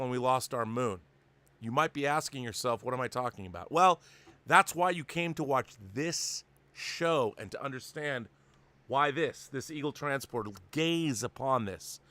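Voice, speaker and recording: A man's voice: mid-range voice, fairly bright tone. A middle-aged American man hosting a show, speaking effusively with dramatic build-ups and mock solemnity. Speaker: show host. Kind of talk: theatrical show introduction and enthusiastic interview chat.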